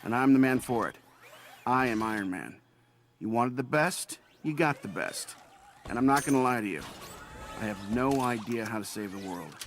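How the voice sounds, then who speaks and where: A man's voice: mid-range voice, expressive, normal speed, natural voice. A man playing a glib billionaire superhero showman in a video game, speaking in a boastful, wry, conversational way. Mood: swaggering. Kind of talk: scripted speech in a game cutscene.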